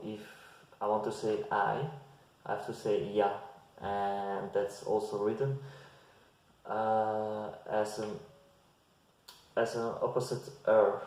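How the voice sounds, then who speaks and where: Slow, gravelly man in an echoey room. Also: lowish voice, a little mellow. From Belgium. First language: Dutch. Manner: slow, hesitant, with frequent restarts and fillers. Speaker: language-learning vlogger. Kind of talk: casual advice to camera. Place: bare indoor room. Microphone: fixed camera at desk distance.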